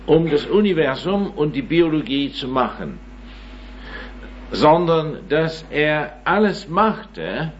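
A man in his nineties giving an informal lecture, rambling and self-mocking, with many hesitations and tag questions. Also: medium pitch. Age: 90s. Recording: dull recording with faint hum.

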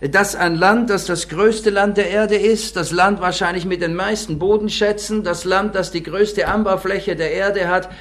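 A man's voice: sits high, steady tone, moderate pace, few pauses, a bit bright. A man giving an earnest sermon as a guest preacher, reading newspaper quotes aloud to the congregation. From born in the city of the talk.

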